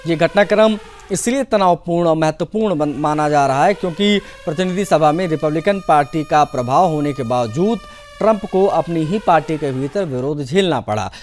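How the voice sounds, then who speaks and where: A man presenting television news with commentary, in the role of anchor. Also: fairly high pitch.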